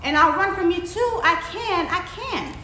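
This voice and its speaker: A woman's voice: very high-pitched, lively intonation, moderate pace, bright, forceful tone, echoey room, a little hiss or hum, voice pushed up, heavily acted. A woman voicing a cocky, taunting little gingerbread man from a storybook in a boastful sing-song chant.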